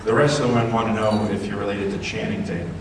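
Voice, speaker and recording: A man's voice: lowish voice, fairly even intonation, very fast, very noisy. A man moderating a convention panel, reading questions and moving on, heard from far off. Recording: echoey hall from far off, loud crowd.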